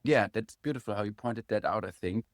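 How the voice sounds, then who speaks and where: A man hosting a casual interview as a teacher, speaking in an affirming way with halting phrasing. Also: on the low side, no echo, clean studio sound. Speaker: interviewer and music production teacher.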